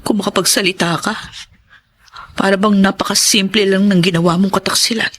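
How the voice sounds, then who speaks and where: An actress playing a betrayed, jealous wife, heartbroken and bitter yet still in love, in an intense, emotional radio drama style. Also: female voice, medium pitch, steady tone, brisk, a bit bright, natural voice. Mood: bitter, sarcastic. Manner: emotional, intense, anguished delivery. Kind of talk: melodramatic Tagalog radio drama acting.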